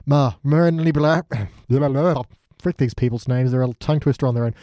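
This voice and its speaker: A man co-hosting a podcast, chatting casually about a show and retelling it in an animated, loose way. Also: medium pitch, normal speed, no echo, clean studio sound. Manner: animated retelling with mocking paraphrased dialogue and swearing. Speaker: podcast co-host. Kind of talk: casual review chat.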